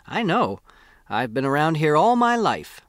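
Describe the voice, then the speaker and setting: A man's voice: a little high, sing-song, measured pace, a bit bright, no echo, clean studio sound, pitched above the performer's own voice. A man voicing a chatty, bossy old country woman in an audiobook, with rambling, folksy, insistent talk. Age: older.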